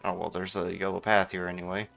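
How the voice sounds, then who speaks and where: A man's voice: low-pitched, level tone, fast talker, creaky, a bit bright. A man giving casual, offhand live commentary as he streams a game, often talking to himself. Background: game music.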